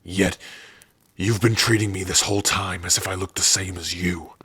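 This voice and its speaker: A man voicing a big, gruff but tender orc warrior in an ASMR roleplay, shy and devoted, speaking haltingly and intimately close to the mic. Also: fairly even intonation, no room sound, full character voice. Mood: wondering, vulnerable. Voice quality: husky, breathy low voice. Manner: slow, halting, softly intimate. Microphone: close dry microphone.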